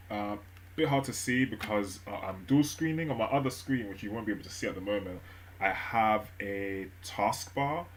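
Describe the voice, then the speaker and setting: A man live-streaming his language study, thinking aloud with many fillers and restarts at a gentle pace. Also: lowish voice, slight background noise. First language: English. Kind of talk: relaxed study stream narration.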